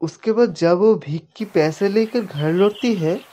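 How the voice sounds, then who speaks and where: Casting: male story narrator, mellow and relaxed tone, dull recording with music underneath. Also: sits high, a little slow, a little hiss or hum. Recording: muffled audio with background music.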